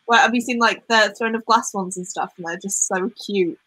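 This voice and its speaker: A woman's voice: medium pitch, moderate pace, pushed and bright, dry recording. A young woman from Wales with a light Welsh accent, Welsh her first language, chatting casually on a live stream with ums and giggles. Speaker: host.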